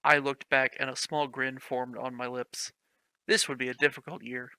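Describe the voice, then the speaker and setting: A man's voice: medium pitch, flat delivery, moderate pace, sharp tone, clean tone, dry recording, poor audio. A man reading fan fiction aloud for laughs, with mock-dramatic delivery and frequent laughter. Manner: reads stiff prose with mock drama, breaks into laughter. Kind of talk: comedic dramatic reading with commentary.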